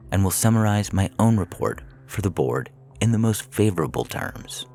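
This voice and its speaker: Man voicing a very deep, calculating prison AI. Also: creaky. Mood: coaxing, clinical. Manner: measured, clinical, formal, without contractions. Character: calculating prison AI, four years old, coolly persuasive, menacing. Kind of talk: scripted audio fiction reading.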